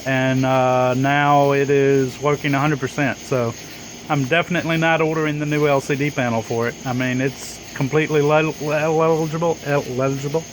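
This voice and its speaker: A man's voice: mid-range voice, steady tone, normal speed. A man chatting casually as an amateur radio operator, in a hesitant, rambling way. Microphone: handheld phone camera. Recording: noisy phone recording, insects, air conditioner. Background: insects chirping, air conditioner hum.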